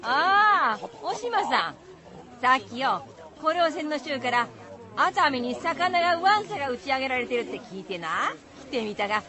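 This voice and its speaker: High, animated fishing village woman. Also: moderate pace, crowd noise. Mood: uneasy.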